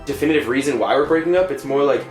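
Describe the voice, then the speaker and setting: A man's voice: medium pitch, rapid-fire. A man in his late twenties, chatting casually and expressively as a vlog co-host over a glass of wine. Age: 20s. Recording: roomy sound with faint music.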